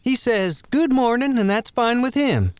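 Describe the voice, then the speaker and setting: A man's voice: very high voice, sing-song, unhurried, clear, resonant tone, no echo, pitched above the performer's own voice, exaggerated voice. A man voicing a timid young male deputy who hesitantly relays what a stranger says. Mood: nervous. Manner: hesitant, reluctant.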